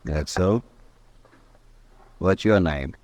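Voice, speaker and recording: A very low, dry-sounding male drifter from a 1950s radio Western. He is surly and taunting, a drawling troublemaker looking for a fight. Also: drawn-out words, darkish tone, no room sound. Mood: surly, probing. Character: surly, menacing drifter from Texas, itching for trouble.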